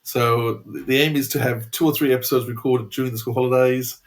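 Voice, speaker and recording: A man's voice: medium pitch, steady tone, brisk. Chatty Australian man co-hosting a podcast. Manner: chatty, enthusiastic, rambling. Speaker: podcast co-host. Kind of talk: casual podcast chat.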